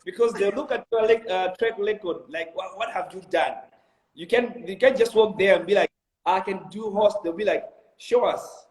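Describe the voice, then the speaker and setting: A man's voice: high voice, normal speed, reverberant, poor audio. A young man in his twenties with a Malawian accent, answering interview questions in an earnest, motivational way, with many ums and restarts. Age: 20s. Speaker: interview guest, video director.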